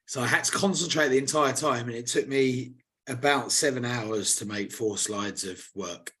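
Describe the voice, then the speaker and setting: Hoarse man, laddish banter. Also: medium pitch, normal speed, bright, forceful tone. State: slightly hoarse. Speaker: podcast co-host. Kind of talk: casual chat.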